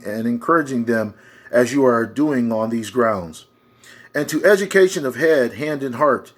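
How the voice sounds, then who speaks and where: Male, medium-pitched voice for reading historical oratory aloud; declamatory, with the odd stumble. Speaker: reader.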